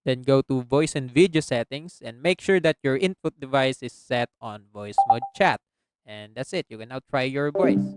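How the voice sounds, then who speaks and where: A man hosting a casual software tutorial as a voice-over. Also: medium pitch, moderate pace, smooth, no room sound, poor audio. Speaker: tutorial host. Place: close, dry indoor voice-over recording.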